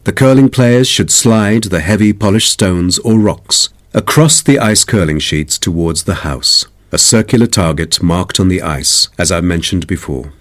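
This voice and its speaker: A man's voice: deep voice, measured pace, hardly any pauses. A man playing a polite sports club expert, giving a formal, instructive scripted talk.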